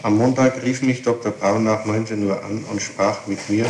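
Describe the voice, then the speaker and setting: Low-voiced man reading aloud, echoey tape. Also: steady tone, normal speed. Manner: formal, matter-of-fact. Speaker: police record taker. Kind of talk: reading a statement back aloud. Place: echoey interrogation room. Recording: echoey archival tape with faint hiss.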